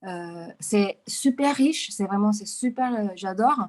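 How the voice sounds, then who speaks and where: A woman from Mexico, a native Spanish speaker with a Mexican accent, giving a casual personal account and halting to search for words. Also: mid-range voice, expressive, a little mellow. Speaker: podcaster taking part as webinar attendee.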